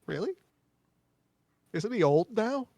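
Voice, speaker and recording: A man playing a tabletop game, slipping into animated in-character banter. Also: high voice, slow pace, no echo.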